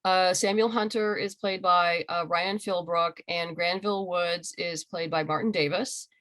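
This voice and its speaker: A woman's voice: lowish voice, fairly even intonation, measured pace, a bit bright. A woman being interviewed as a writer and creator, talking casually and enthusiastically on a video call. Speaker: interviewed comic book writer and audio drama creator.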